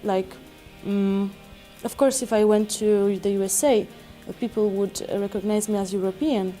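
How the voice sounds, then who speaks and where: A woman's voice: mid-range voice, steady tone, normal speed, dark tone, faint music behind. A woman, speaking earnestly in an interview. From Poland. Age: 20s. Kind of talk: casual interview answers.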